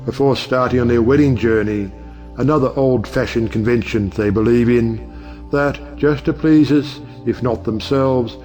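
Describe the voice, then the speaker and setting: An actor playing an eloquent, high-minded judge who speaks in grand, oratorical style in an audio play. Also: male voice, a little mellow, natural voice.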